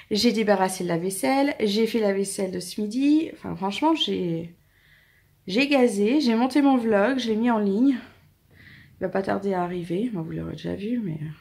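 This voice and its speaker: A young French woman in her late twenties talks casually to her viewers, rambling from one thing to the next in a relaxed vlog. Her voice has a gravelly, fry-tinged edge at mid pitch, and she drops into soft cooing when she addresses her cats. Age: 20s. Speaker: family vlogger. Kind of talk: casual chat to camera.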